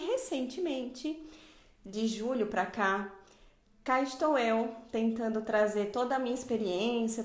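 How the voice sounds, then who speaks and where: A woman's voice: mid-range voice, a little mellow, reverberant. A middle-aged woman from Pernambuco, Brazil, giving a live online dentistry lecture in a didactic way, often adding tag questions. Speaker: dentistry professor.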